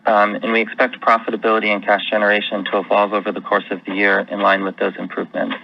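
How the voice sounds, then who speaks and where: A man's voice: on the low side, completely flat delivery, fast talker, vocal fry, slight background noise. A man speaking as a company finance executive, delivering prepared remarks on an earnings call. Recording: dull lo-fi conference call audio.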